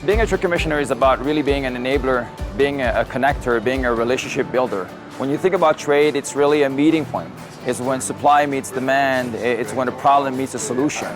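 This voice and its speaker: A man from Chile, a senior trade commissioner, speaking in an earnest, measured interview tone. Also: medium pitch. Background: background music.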